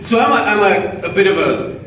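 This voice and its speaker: A man's voice: high-pitched, animated, moderate pace. A man from Bulgaria with a Bulgarian accent, asking a long, wry, provocative question from the audience. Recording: echoey hall, mic at a distance, faint noise.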